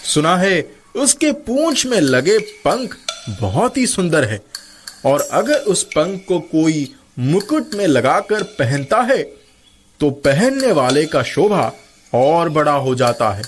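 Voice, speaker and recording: A man's voice: a little high, sing-song, slow, slight background noise. A man voicing a proud, wily king in a cartoon story, with a stately, commanding manner. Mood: stately, scheming. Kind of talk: Hindi cartoon story character dubbing.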